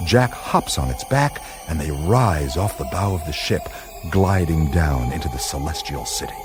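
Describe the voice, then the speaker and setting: Deep male narrator, slow dramatic storytelling, radio drama, expressive, loud music behind. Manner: measured, suspenseful storytelling.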